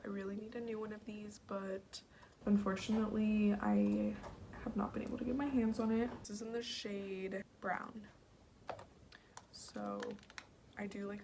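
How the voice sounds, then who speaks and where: A young woman with a raspy voice, chatting casually through a makeup tutorial and often dropping to a whisper as she works. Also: medium pitch, background noise. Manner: chatty, slips into whispers while working. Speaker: beauty vlogger. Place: home, at a mirror.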